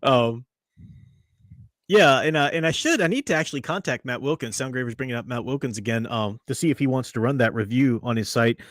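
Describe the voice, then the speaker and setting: A man's voice: mid-range voice, fairly quick, a bit bright, no room sound, studio quality. A man hosting a casual podcast chat, opinionated and chatty, laughing often. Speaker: podcast host.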